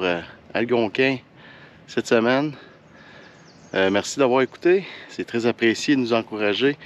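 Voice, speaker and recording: A man with a Quebec French accent chatting casually to the camera as a hiking vlogger, in a relaxed, colloquial and slightly halting way. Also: lowish voice, highs cut off. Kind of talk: casual vlog chat to camera. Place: outdoors on a forest hiking trail and mountain summit. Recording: outdoor ambient noise behind voice.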